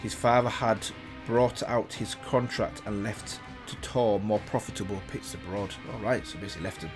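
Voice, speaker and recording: A man's voice: fairly low pitch, fairly even intonation, normal speed, music in the background. A man hosting a reaction video, reading a story aloud in a casual way with slips and self-corrections, remarking on it as he goes.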